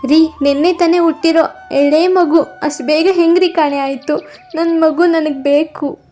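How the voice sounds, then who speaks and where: A woman's voice: high-pitched, steady tone, unhurried, mellow, voice pushed up. A woman voicing an anxious young mother in a cartoon, urgent and worried. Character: young mother, anxious over lost baby.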